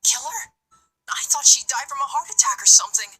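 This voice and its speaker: A man voicing a nervous, soft-spoken young male college student in a visual novel game, with a breathy, hesitant delivery. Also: very high voice, animated, brisk, voice pushed up, full character voice, rough recording. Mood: frightened. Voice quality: breathy, whispery.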